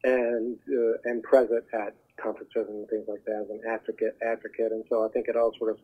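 Man, phone-line sound, slightly husky, conversational, earnest, halting, interview guest. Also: fairly low pitch, little pitch movement. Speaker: voiceover talent agent, podcast guest. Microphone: telephone call. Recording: muffled narrow phone line.

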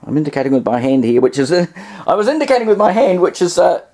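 A man talks through a hobby demonstration indoors. His voice sits a little high for a man. He is casual and unscripted, pausing and wandering into wry asides. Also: sing-song, dark tone. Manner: hesitant, rambling, wry asides. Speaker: vintage radio hobbyist demonstrating.

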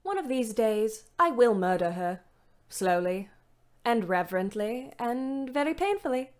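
An actress playing a weary, bitter young ambulance driver, narrating a Great War audio drama in a wry, dramatic way. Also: female voice, a little high, lively intonation, measured pace. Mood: vengeful. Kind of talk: first-person audio drama monologue.

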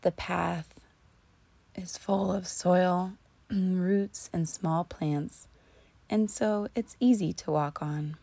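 Slow, breathy woman guiding relaxation. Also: lowish voice, fairly even intonation, warm tone, no room sound. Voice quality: breathy, often near a whisper. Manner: soothing, slow and deliberate with long pauses. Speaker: guided meditation host. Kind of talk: guided imagery relaxation narration.

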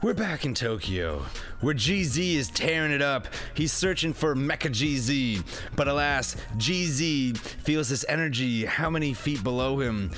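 A man's voice: mid-range voice, animated, unhurried, a bit bright, soft background music. A man narrating a comedic film review as host, with a jokey, theatrical, slangy delivery.

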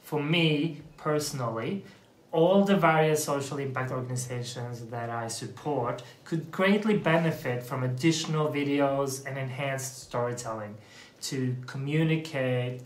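A man's voice: medium pitch, measured pace. Upbeat Norwegian man in an echoey room. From Norway. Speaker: vlog co-host. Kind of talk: scripted couple vlog introduction. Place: small indoor room at home.

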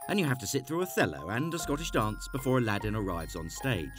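A man's voice: medium pitch, very animated, fast talker. A man reading scripted documentary narration. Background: music.

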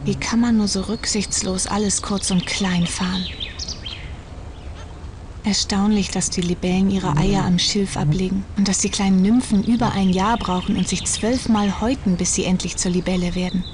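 Woman doing a nature-loving teen girl sleuth in a cartoon game, medium pitch, noisy audio. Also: steady tone, normal speed, close to an everyday voice. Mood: concerned. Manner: earnest, inquisitive. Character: curious, caring, nature-loving teenage girl detective. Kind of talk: cartoon adventure game dialogue.

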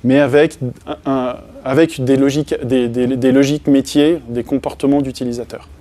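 A man's voice: medium pitch, fairly even intonation, normal speed, mellow. A man in his thirties giving a technical talk to a small audience, casual, with many hesitations and restarts. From France. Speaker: conference speaker. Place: meeting room with projector and small audience.

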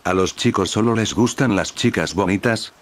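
A man's voice: on the low side, normal speed, lightly acted. A man reading as a sulky jealous young witch.

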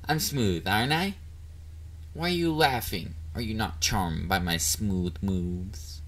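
A man's voice: on the low side, a bit bright, heavily acted. A man playing a bubbly, bashful catboy boyfriend in an intimate roleplay audio drama, dorky and easily flustered. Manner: playful, dorky, flustered, hesitant. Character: bubbly, bashful young male catboy partner.

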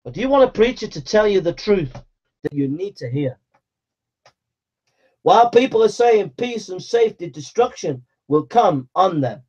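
High-voiced man preaching fire and repentance. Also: moderate pace, muffled recording. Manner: exhorting, repetitive, mocking mimicry of flattery. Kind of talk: fiery sermon.

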